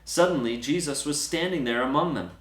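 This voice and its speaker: A man's voice: on the high side, brisk. Man narrating scripture, echoey room. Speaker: storyteller, Bible reader.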